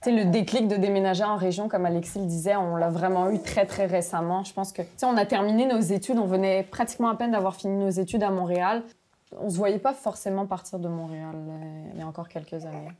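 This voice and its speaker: A woman in her thirties who grew up in France and is of background, interviewed in relaxed conversation, thinking aloud with frequent pauses. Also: medium pitch, dry recording. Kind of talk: casual conversation.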